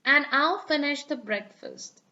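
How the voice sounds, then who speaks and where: A woman voicing a kind, capable mother pig in a children's picture book, gently reassuring. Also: sits high, lively intonation, a little slow, dull audio, full character voice.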